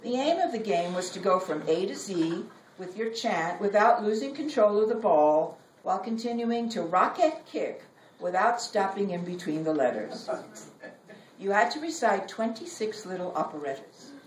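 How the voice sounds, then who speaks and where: A woman's voice: medium pitch, expressive, normal speed. A woman in her sixties reads her own personal, wry writing aloud at a live reading. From Brooklyn, United States. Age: 60s. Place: indoor library reading room. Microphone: distant camera or phone in audience. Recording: roomy, distant mic, background noise.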